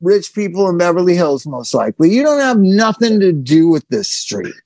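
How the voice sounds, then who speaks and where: A man's voice: sits high, big pitch swings, normal speed, a little mellow. Rambling man in his sixties. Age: 60s. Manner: rambling storyteller, profane. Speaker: podcast host. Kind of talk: casual chat.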